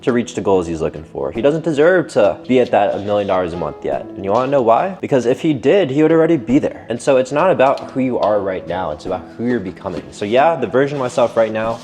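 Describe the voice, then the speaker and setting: A man's voice: mid-range voice, animated, quick pace, hardly any pauses. A man in his twenties talking casually and candidly in a personal vlog, swearing freely as he reflects on his year. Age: 20s. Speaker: vlogger. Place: apartment. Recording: roomy sound with loud guitar music.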